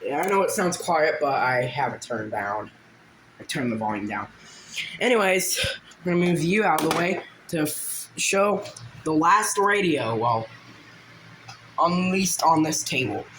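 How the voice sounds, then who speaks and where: A man giving a casual, halting show-and-tell, informal and often trailing off. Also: a little high, sing-song, fairly bright tone. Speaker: hobbyist video creator. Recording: noisy home video with clicks.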